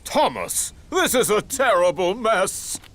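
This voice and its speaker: A man's voice: sits high, big pitch swings, slow pace, a bit bright, a little hiss or hum, full character voice. A man voicing a pompous, stern middle-aged railway boss in a children's cartoon, formal and authoritative. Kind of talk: children's cartoon character acting.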